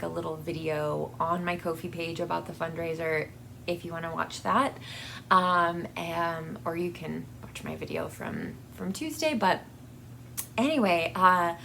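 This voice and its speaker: A woman giving a casual, rambling personal update to her viewers in a halting, effusively grateful way, recorded in a basement. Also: lowish voice, creaky, a bit bright, a little hiss or hum. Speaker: book channel vlogger. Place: basement with air conditioning running.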